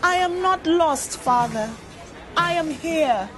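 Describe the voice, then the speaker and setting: A woman voicing a gentle, steadfast young woman in a storybook, polite and earnest. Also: very high-pitched, animated, takes it slowly, music in the background, voice pushed up, full character voice. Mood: earnest reassurance.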